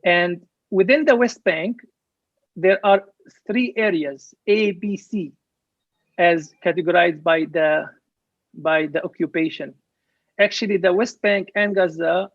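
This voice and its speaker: A young man from Palestine gives an earnest conference talk over a video call, explaining things step by step. His voice sits a little high and carries a gravelly edge. The dull, lo-fi call audio is dry, with no room echo. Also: measured pace. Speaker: presenter. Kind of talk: online conference presentation.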